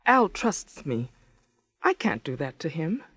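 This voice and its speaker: A woman's voice: low-pitched, very animated, slow pace, fairly bright tone, breathy edge, no echo, studio quality, exaggerated voice. An actress in a radio drama playing a conflicted, principled married woman of thirty-eight, intimate and emotionally charged. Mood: anguished, indignant. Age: 30s. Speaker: lead actress and narrator. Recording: 1980s radio drama, music behind.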